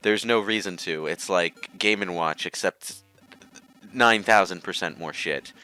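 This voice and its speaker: A man's voice: low voice, a little slow, bright, forceful tone, clean tone, soft background music, rough recording. A man casually commentating on a game with friends. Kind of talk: casual group banter commentary.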